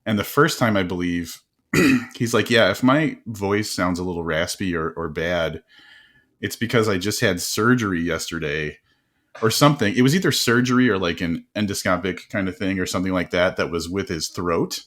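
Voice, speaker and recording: A man hosting an interview podcast, telling quick stories in a casual, animated way with frequent laughs and restarts. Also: low voice. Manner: animated storyteller, laughs often, many restarts. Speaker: podcast host.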